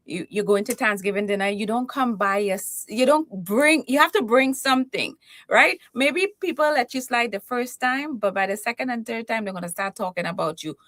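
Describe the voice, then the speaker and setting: A woman's voice: fairly high pitch, normal speed, a bit bright, no echo. A woman from Trinidad and Tobago with a Trinidadian accent, talking as a confident, humorous guest in an interview. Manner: playful, self-assured, humorous. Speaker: guest, keynote speaker and confidence coach. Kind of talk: conversational interview.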